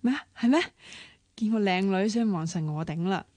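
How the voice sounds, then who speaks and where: A woman's voice: mid-range voice, lively intonation, moderate pace, a little mellow, no echo, lightly acted. An actress playing a cheeky, grumbling young woman in a radio drama, with a Hong Kong Cantonese accent. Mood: playful. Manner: teasing, petulant.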